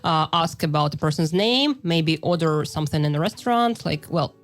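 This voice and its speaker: A woman with Russian as her first language talks casually as a podcast host. Her voice is on the low side, with music in the background. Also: expressive, brisk. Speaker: podcast host, English teacher. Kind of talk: casual chat.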